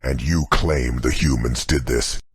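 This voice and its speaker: A man voicing Satan as an enraged, paranoid tyrant demon king with a gravelly voice, speaking slowly and imperiously in an audiobook. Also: no echo, clean studio sound, exaggerated voice. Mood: cold suspicion. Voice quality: very deep, gravelly, husky. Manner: slow, imperious, threatening.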